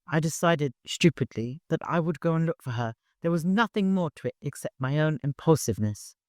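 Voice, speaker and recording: A man's voice: a little high, animated, a little fast, no echo, clean studio sound, pitched above the performer's own voice, natural voice. A man voicing a meek servant woman in an audiobook, careful, respectful and apologetic. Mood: deferential pleading. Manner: respectful, careful, self-blaming.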